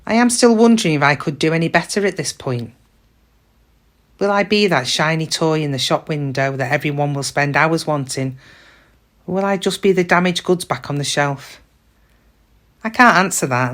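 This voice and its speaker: A woman's voice: deep voice, moderate pace, natural voice. A middle-aged woman playing a downtrodden shop cashier in a reflective, confiding, plain-spoken dramatic monologue about her regrets. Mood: reflective, hopeful. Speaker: actor in a monologue. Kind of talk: first-person dramatic monologue.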